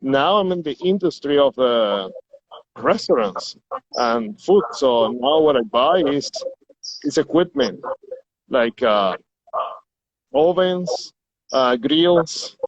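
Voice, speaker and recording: A man's voice: medium pitch, expressive, slow, long pauses, no echo. Venezuelan man speaking English, muffled and dry. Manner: hesitant, repeats words while searching for English. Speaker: interview guest. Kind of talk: casual interview.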